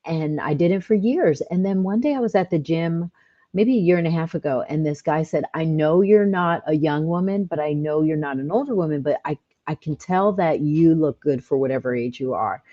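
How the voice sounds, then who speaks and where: A woman in her fifties, chatting as a guest in a relaxed interview. Her voice sits low, and she talks in a bright, upbeat way with plenty of laughter. The recording sounds a little dull and muffled. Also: a little fast. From California. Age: 50s. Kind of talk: casual interview.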